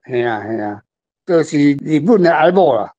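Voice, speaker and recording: Older Taiwanese man, slightly high voice, rambling. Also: animated, normal speed, dark tone, highs cut off. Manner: rambling, anecdotal, repeats phrases. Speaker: author guest discussing their story. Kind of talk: casual literary discussion.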